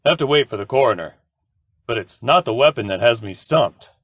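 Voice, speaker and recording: A man's voice: medium pitch, animated, fairly quick, a bit bright. A man playing a wry police lieutenant in an old-time radio drama, talking matter-of-factly. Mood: businesslike. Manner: matter-of-fact. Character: brisk, wry male police lieutenant, old pal of the detective. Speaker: supporting actor.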